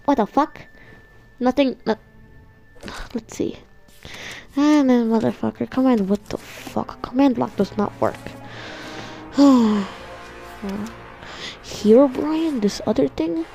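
A woman's voice: a little high, slow, vocal fry, long pauses, mellow. A woman voicing a frustrated, obsessive cartoon stick-figure inventor in an improvised comedic fan dub, muttering and swearing. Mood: exasperated. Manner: muttering, profane. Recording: music playing behind the voice. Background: background music.

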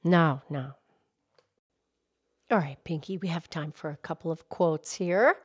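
Woman as grown-up cow puppet, calm, soft, slow measured delivery, teacher-like, children's show. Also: low voice, breathy edge, no room sound, clean studio sound. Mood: gentle, measured.

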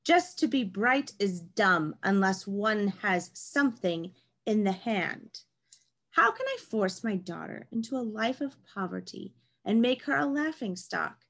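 A woman's voice: mid-range voice, big pitch swings, measured pace, creaky, fairly bright tone, exaggerated voice. A woman in her thirties playing a proud, scheming mother, emphatic and indignant, in a play read over video call. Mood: indignant, defiant. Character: proud, scheming mother refusing her daughter's poor match. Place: small home room, video call.

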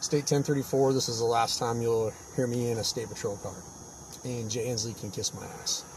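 Middle-aged trooper in a noisy car. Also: male voice, mid-range voice, fairly even intonation, brisk. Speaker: state trooper. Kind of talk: sign-off over police radio. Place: inside a patrol car. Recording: noisy phone video inside patrol car.

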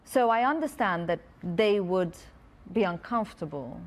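A woman's voice: mid-range voice, expressive, moderate pace. Earnest, articulate woman author on stage. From Pakistan. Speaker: author guest. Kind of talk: reading aloud and answering interview questions. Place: festival stage before a large audience.

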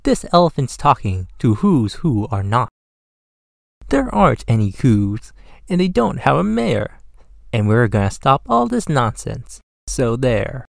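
A man voicing a brash, bullying male jungle monkey who shouts and jeers in a rhyming storybook. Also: mid-range voice, big pitch swings, unhurried, vocal fry, dry recording, studio quality. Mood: jeering. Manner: shouting, jeering. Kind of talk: rhyming storybook character reading.

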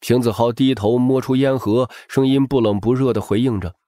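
A man's voice: medium pitch, fairly even intonation, normal speed, warm tone, dry recording, studio quality. A man narrating an audiobook as a dramatic scripted story.

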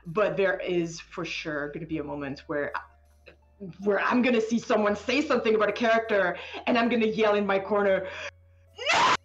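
A woman chatting casually as a game developer in an interview, animated, often interrupting herself and stammering over words. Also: mid-range voice, normal speed, dull audio, soft background music. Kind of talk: casual live stream chat.

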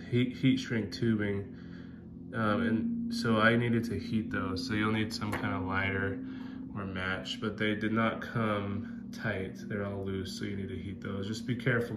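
A man with a Southern American accent talking through a how-to at a workshop bench, relaxed and full of ums and uhs. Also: lowish voice. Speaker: fishing channel host. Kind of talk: casual how-to walkthrough. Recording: loud guitar music behind.

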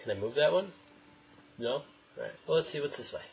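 A man's voice: fairly high pitch, lively intonation, brisk, vocal fry, a little mellow, faint music behind. A young man giving casual, wry gameplay commentary, swearing and talking to the game's characters. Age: young adult. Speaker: gamer, let's play host. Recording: noisy, game sound behind voice.

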